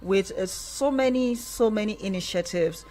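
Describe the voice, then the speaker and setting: A woman with a Nigerian accent hosting a radio talk show in a measured, conversational way. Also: medium pitch, warm tone. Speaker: radio host. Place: radio studio.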